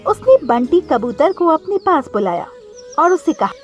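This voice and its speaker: A woman's voice: high-pitched, sing-song, normal speed, lo-fi sound, soft background music. A woman narrating a scripted cartoon story as the storyteller.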